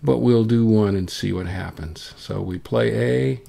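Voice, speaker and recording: A man with a lowish voice gives an informal software walkthrough at a very unhurried pace. He thinks aloud as he goes, with a creaky edge to his speech. Acoustic guitar plays prominently behind him. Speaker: software tutorial presenter. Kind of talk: casual screencast demonstration. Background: solo acoustic guitar clips playing.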